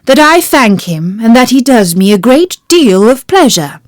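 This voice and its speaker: A woman's voice: fairly high pitch, very animated, measured pace, no echo, studio quality. A woman voicing a gracious male storybook king in a formal, regal manner. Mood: pleased, regal.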